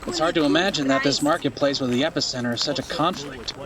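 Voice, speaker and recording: A man's voice: a little high, fast talker, distant mic, crowd noise, close to an everyday voice. A man playing a curious, eager listener in a fantasy audio drama, asking questions conversationally. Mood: cheerful curiosity. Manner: inquisitive, conversational.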